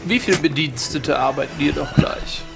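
Guy doing a polite old nobleman, gravelly creaky voice, a bit high. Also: a bit bright, soft background music. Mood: polite, probing. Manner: genteel, measured. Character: courteous, probing old gentleman aristocrat.

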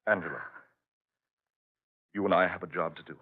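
An actor playing an earnest married man investigating a mystery in a 1940s-style radio horror drama, with deliberate, dramatic delivery. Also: breathy edge. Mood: grave, resolute. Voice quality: low-pitched voice. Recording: dull old radio recording.

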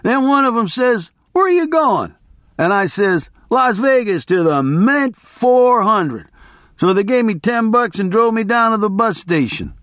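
Man putting on a high-pitched rowdy biker barfly. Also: sing-song, measured pace, no room sound, clean studio sound, exaggerated voice. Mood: boisterous, elated. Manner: loud, rambling, profane storytelling. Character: rowdy grinning biker in a bar, battered but elated.

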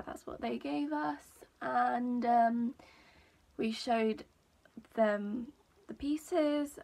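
Young female vlogger, high register, croaky today, slow unhurried chat full of ums, filmed close in a small room. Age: young adult. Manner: chatty, many ums, relaxed storytelling. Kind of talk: casual talk to camera.

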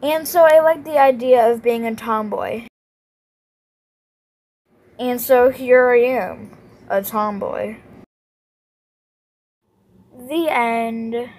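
A woman voicing an animated amateur story in a theatrical, exaggerated way. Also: fairly high pitch, slow, pauses often. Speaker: amateur voice-over creator.

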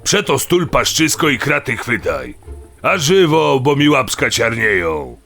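A man playing a gruff, impatient, burly dwarf thief in an audio drama, with a rough voice and surly rustic dialect. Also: medium pitch, sing-song, a little slow, bright, forceful tone, soft background music, heavily acted. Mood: straining, impatient.

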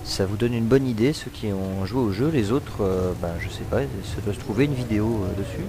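A man with a cold talks his way through a video game, rambling and full of hesitant fillers. His voice sits slightly low, and clear game noise runs under it. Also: fairly quick. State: has a cold. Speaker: let's play commentator. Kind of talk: casual gameplay commentary.

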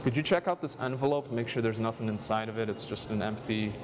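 A man's voice: fast talker, a crowd in the background. A man in his twenties talking casually as a close-up street magician, explaining and performing. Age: 20s. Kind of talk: interview talk and casual performance patter. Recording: music underneath, subway train rumble.

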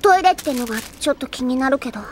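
A woman's voice: sits high, lively intonation, rapid-fire, background noise. A woman playing a bossy, self-important teenage girl detective in an anime audio drama, commanding and self-assured. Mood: grave, assured.

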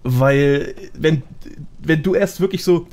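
Slangy man, slightly high-pitched, chatting casually. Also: slow pace, a little mellow. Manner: slangy, colloquial. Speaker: podcast guest, gaming YouTuber. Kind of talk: casual podcast chat.

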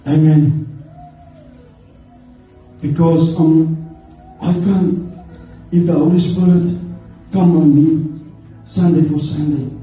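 Mellow man preaching in an echoing hall. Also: fairly high pitch, steady tone, slow, long pauses, faint music behind. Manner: earnest exhortation with frequent "amen" interjections. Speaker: church elder preaching. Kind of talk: sermon. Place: stage in a church hall, indoors. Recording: muffled, echoey hall, distant from camera.

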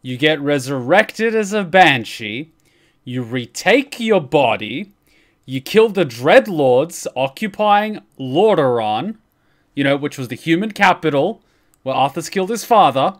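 Animated young man streaming sarcastic reactions. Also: a little high, unhurried. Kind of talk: casual, profane reaction commentary.

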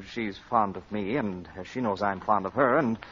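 Mild, halting law partner. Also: male voice, fairly low pitch, a bit bright, breathy edge. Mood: hesitant, earnest. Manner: halting, hesitant. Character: well-meaning, hesitant male law partner, mild. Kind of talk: 1940s radio comedy acting. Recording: dull 1940s radio broadcast.

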